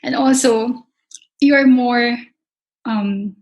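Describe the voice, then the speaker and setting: A woman's voice: a little high, takes it slowly, a little mellow, highs cut off. A young woman from the Philippines with a Filipino accent, a culinary instructor talking casually in an interview, often repeating words as she searches for them. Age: young adult.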